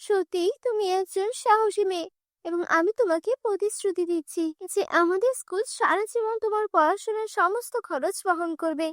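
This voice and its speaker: A woman's voice: extremely high pitch, normal speed, dry recording, clean studio sound. A woman voicing an earnest, protective female school principal in a children's cartoon. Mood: grateful.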